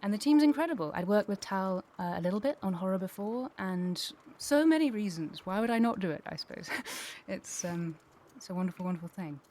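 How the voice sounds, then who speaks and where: A woman's voice: medium pitch, animated, fairly quick, warm tone. A woman talking enthusiastically and a little digressively in a conversational panel interview. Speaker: voice actor and tutor.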